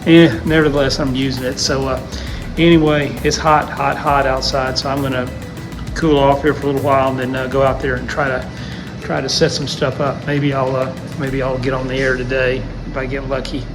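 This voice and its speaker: A man with an even, unanimated mid-pitched voice gives a casual hobbyist walkthrough. He is laid-back and plain-spoken, and music plays behind him. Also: a little fast. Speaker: amateur radio hobbyist on holiday. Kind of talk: casual narration while showing his gear.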